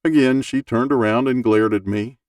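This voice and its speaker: A man in his sixties with a gravelly voice, reading a humorous first-person essay as an audiobook narrator in a wry storytelling manner. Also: mid-range voice, fairly even intonation, normal speed, a little mellow, no echo, clean studio sound, recorded from a distance. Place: small dark home recording booth, dry.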